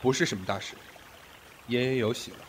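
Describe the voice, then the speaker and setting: A man's voice: medium pitch, brisk, background noise, lightly acted. A man acting a commanding, possessive sect master in an ancient Chinese audio drama, with an imperious, controlled delivery. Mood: cool, controlled.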